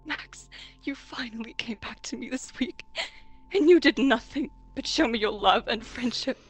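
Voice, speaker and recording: A woman's voice: on the high side, moderate pace, breathy edge, no room sound, faint music behind, pitched above the performer's own voice. A woman playing an anguished, self-blaming young woman in a dramatic fan scene, earnest and pleading. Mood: tender, tearful.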